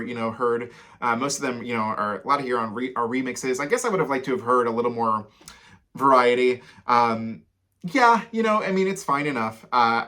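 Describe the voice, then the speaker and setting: A man's voice: medium pitch, pushed and bright. A man in a casual, loosely meandering, conversational style, giving commentary as a music reaction host.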